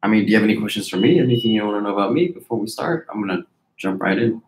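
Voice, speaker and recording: An echoing room surrounds a man with a fairly low voice as he hosts an interview. He talks informally and slangily, putting questions to his guest in loose, conversational phrasing. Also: animated, racing. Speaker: interviewer, host. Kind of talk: casual, slangy interview chat. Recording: roomy, echoing sound.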